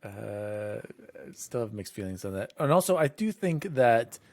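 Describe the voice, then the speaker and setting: A man hosting a casual gaming podcast, upbeat and jokey, hyping up shout-outs to listeners. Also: lowish voice, big pitch swings, a little slow, dry recording. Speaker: podcast host.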